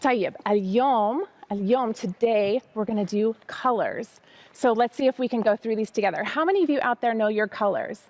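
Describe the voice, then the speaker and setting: A woman hosting a children's storytime lesson. She talks in an upbeat, slow way to young listeners and mixes Arabic words into her English. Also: a little high, darkish tone. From United States. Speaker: storytime host teaching Arabic.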